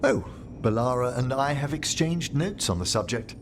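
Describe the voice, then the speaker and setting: A man playing a courtly, scholarly gentleman mage in a fantasy game, with formal, theatrical phrasing. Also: medium pitch, sing-song, normal speed, a little hiss or hum. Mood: solemn, fond. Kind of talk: acted game dialogue. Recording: game audio with clear background ambience.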